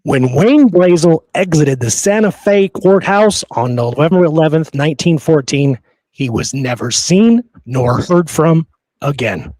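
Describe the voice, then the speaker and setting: A man's voice: fairly high pitch, expressive, unhurried, a little mellow, no room sound. A man hosting a history podcast in a conversational way, earnest and repeating phrases for emphasis, close on the microphone. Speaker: podcast host.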